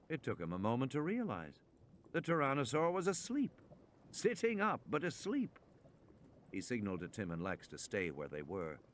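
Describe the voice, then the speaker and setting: Male narrator, mid-pitched, animated intonation, measured dramatic novel reading, background noise with clicks. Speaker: audiobook narrator. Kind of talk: scripted narration of a suspense novel.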